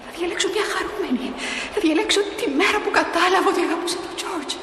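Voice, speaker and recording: An actress playing a newly dead young mother in a stage drama, restless, tender and plaintive, often hushed and breathy. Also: very high voice. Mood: eager, insistent. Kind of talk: emotional stage drama acting. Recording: noisy, echoing hall recording of a play.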